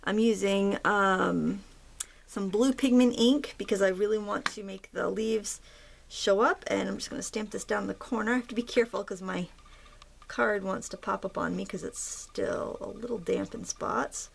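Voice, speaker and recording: A woman hosting a craft tutorial, chatting in a relaxed, rambling way as she paints. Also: medium pitch, normal speed, creaky. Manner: relaxed, rambling, with fillers and soft laughs. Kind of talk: casual chatty tutorial narration.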